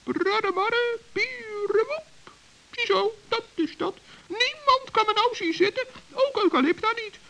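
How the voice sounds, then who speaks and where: A man playing a small, earnest, trusting forest gnome with a squeaky, creaky voice in a children's radio play. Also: measured pace, pushed and bright, heavily acted, low-quality recording. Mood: pleased, busy. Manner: earnest, naive, polite.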